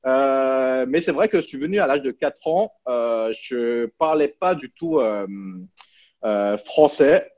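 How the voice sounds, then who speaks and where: Through slightly dull, lo-fi call audio, a man in his thirties from French Guiana answers as an interview guest. His voice sits at a middle pitch, and he talks casually in a hesitant, stop-start way. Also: slow pace, a little mellow. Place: small plain room indoors. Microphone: video call.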